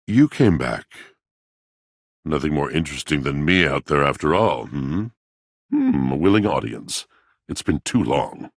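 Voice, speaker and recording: An actor playing a brooding, melancholy washing machine in a video game, a wounded poet with a gravelly rumble and florid, pompous, theatrical delivery. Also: male voice, very animated, a little slow, no echo, studio quality, full character voice. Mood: haughty, wry. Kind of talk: video game character voice acting.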